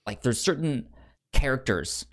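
A man co-hosting a film podcast, talking casually in a halting way, often repeating syllables as he finds his words. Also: mid-range voice, lively intonation, a bit bright.

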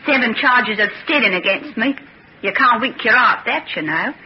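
An actress as a streetwise female thief on the run, blunt and wary. Her pitch moves freely as she talks in slangy old radio drama style. The sound is muffled, with faint noise behind it. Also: lively intonation, normal speed, natural voice. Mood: suspicious. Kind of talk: old-time radio serial acting.

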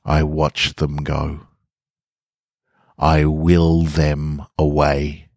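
A man's voice: a bass voice, completely flat delivery, drawn-out words, darkish tone, no room sound. A man who hosts a podcast and reads short stories aloud, speaking into a close mic. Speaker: podcast host and story reader. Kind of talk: narrated short fiction and casual host outro.

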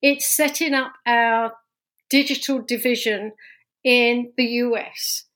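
A fairly high-voiced woman with a London accent talks through her own story as a podcast guest. She talks casually, restarting her sentences often and laughing now and then. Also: fairly even intonation, takes it slowly, fairly bright tone. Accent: London English. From London, England. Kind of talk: casual interview talk.

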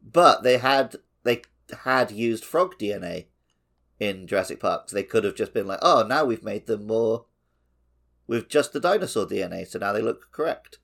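A man chatting casually as a podcast co-host, joking and getting animated in an informal way. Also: on the low side, moderate pace, gravelly. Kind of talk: casual chat.

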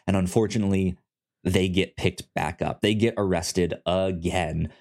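Young male co-host, low-pitched, heavy stutter on word starts, easygoing chat. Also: fairly even intonation, moderate pace, a little mellow. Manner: stutters heavily on first sounds. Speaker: podcast co-host. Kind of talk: casual chat.

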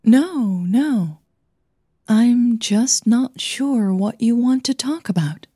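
A woman's voice: lively intonation, takes it slowly, warm tone, breathy edge, no echo, studio quality, full character voice. A woman voicing a nervous, defensive young opera singer in an audio drama, hesitant and uneasy. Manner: hesitant, higher-pitched, uneasy.

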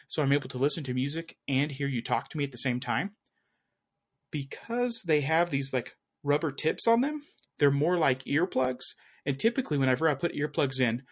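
A man chatting casually as a tech podcast co-host, rambling a little and often correcting himself as he searches for words. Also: medium pitch. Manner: rambling, hesitant, self-correcting. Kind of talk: casual chat.

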